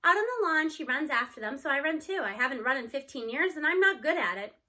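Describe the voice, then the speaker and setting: Bright, high-voiced woman reading a story. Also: animated, fast talker. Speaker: read-aloud narrator. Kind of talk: scripted children's novel narration.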